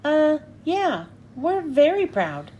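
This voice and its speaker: A woman voicing an earnest, excitable young male sponge character. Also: high voice, slow, full character voice. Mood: uneasy.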